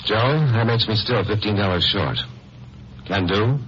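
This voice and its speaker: An American actor playing a wry, hard-boiled lawyer-sleuth, sardonic and tongue-in-cheek, in a 1950s radio crime drama. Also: male voice, on the low side, expressive, unhurried, a bit bright. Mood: wry, purposeful. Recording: muffled, noisy 1950s radio recording.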